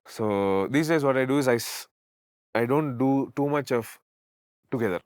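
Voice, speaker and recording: A man's voice: normal speed, a little mellow, smooth, no echo, clean studio sound. A man, a veena player and singer talking easygoingly in an interview. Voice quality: deep voice. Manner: reflective, easygoing, laughs often, mixes Kannada into English. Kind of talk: casual interview chat.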